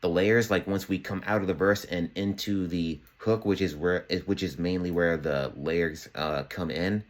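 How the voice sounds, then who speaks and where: A young man with a bassy voice, casually walking through his home production setup with lots of fillers. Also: moderate pace. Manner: relaxed, chatty, many fillers. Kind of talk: casual tutorial walkthrough. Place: small home studio room.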